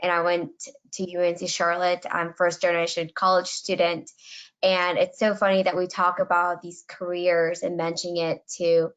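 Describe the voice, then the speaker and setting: A woman's voice: fairly low pitch, muffled recording. A middle-aged woman from Colombia, speaking as a webinar panelist about her career path in an earnest, reflective way.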